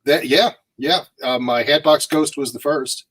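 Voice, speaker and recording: A man's voice: on the high side, unhurried, a bit bright. A man from St. Louis, a guest artist chatting in an easygoing, modest way. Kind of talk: casual interview chat.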